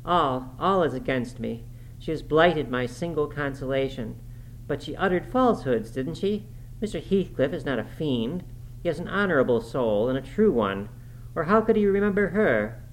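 A man's voice: a little high, expressive, normal speed, a little hiss or hum, low-quality recording. A man reads as a naive young woman in a storybook, infatuated and easily wounded. She speaks with petulant, impassioned insistence. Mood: tearful.

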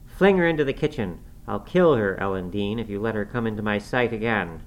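A man's voice: mid-range voice, expressive, normal speed, fairly bright tone, close to an everyday voice. A man voicing a cruel, hot-tempered middle-aged master in an audiobook, commanding and threatening. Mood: savage rage. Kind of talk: audiobook character acting. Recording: clearly noisy recording.

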